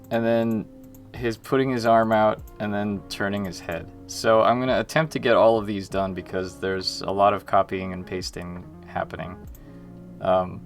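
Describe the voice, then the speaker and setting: A young man chatting casually on his livestream from a small room at home, self-mocking and greeting viewers by name. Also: lowish voice. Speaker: indie game developer streaming.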